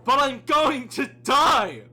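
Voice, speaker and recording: A man playing a whiny, self-pitying condemned murderer who shouts, pleads and accuses in an amateur audio play. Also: very high-pitched, animated, slow pace, a little hiss or hum, pitched above the performer's own voice, exaggerated voice. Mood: aggrieved despair.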